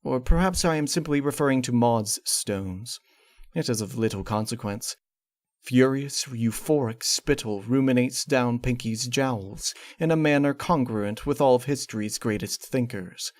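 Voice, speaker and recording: A man's voice: medium pitch, measured pace, dry recording, clean studio sound. A man reading a scripted gothic horror story in the first person, with formal, theatrical and precise phrasing. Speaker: narrator reading fan fiction.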